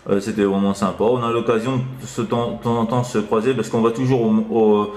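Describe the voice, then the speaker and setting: Guy on cb radio, low voice, rambles a bit, roomy echo. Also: fairly even intonation, fairly quick, a little mellow. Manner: hesitant, rambling, with repeated tag words. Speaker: amateur CB radio operator. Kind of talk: casual radio chat.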